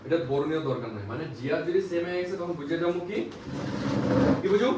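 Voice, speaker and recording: A high-pitched man gives a practical classroom lesson, recorded from a distance in an echoey room. He explains emphatically, repeats himself and keeps throwing questions to the learners. There is faint noise behind him. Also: expressive, fast. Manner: repetitive, emphatic teaching with frequent rhetorical questions. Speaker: phone repair instructor. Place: indoor classroom.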